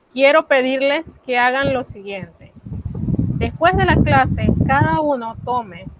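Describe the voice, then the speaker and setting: A woman, teaching an online French class and patiently repeating the key points. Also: a little high. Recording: loud background noise.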